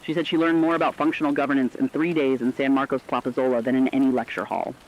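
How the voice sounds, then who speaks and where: A mid-pitched man acting as a reflective radio storyteller relays accounts on a fictional broadcast. He sounds earnest and contemplative, through a dull, lo-fi radio signal with rain behind. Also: flat delivery, quick pace, lightly acted.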